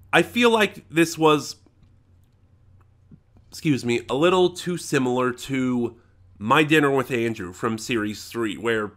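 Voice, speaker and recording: American male reviewer, mid-pitched voice, informal and unscripted, wandering delivery with self-corrections. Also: a bit bright. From United States. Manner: conversational, rambling with self-corrections. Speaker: audio drama reviewer. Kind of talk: casual unscripted review commentary.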